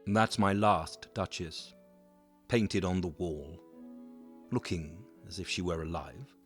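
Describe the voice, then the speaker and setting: A man playing an imperious, menacing Renaissance Duke, reciting verse in a measured, caressing manner in an audio drama. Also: sits low, soft background music. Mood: proud, measured.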